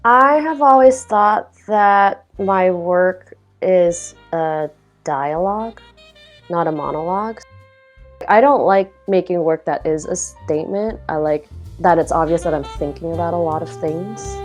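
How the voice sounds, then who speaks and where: Young woman from Hong Kong, low-pitched, relaxed and self-deprecating, faint music behind. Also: unhurried. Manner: reflective, self-deprecating, loose with fillers. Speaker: guest artist. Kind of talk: casual conversational interview.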